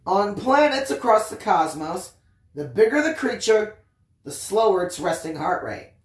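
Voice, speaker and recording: A storyteller reading a first-person tale expressively, with theatrical emphasis and drawn-out phrases. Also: medium pitch, big pitch swings, reverberant. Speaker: audiobook reader, story time host.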